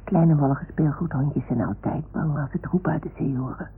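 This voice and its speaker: A woman playing a small woolly toy dog in an old radio play, excitable and confiding, with childlike, theatrical earnestness. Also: deep voice, brisk, breathy edge, exaggerated voice. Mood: hushed, confiding. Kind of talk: 1960s-70s radio play acting. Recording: tinny, noisy old radio recording.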